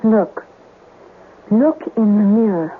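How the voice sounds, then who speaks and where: A woman's voice: medium pitch, takes it slowly, natural voice. An actress playing a solemn female ghost who speaks formally and with foreboding in a 1970s radio mystery. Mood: commanding. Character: female ghost, solemn, ominous, warning a ghost hunter. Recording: tinny, noisy 1970s radio recording.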